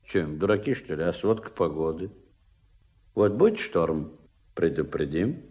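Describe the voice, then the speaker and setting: An actor playing a gruff, shrewd, middle-aged fish farm boss in a radio play, giving curt orders in a gravelly voice. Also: male voice, deep voice, expressive, a little slow. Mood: overbearing. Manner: curt, bossy, clipped commands. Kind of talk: Soviet radio play acting. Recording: dull, lo-fi old radio recording.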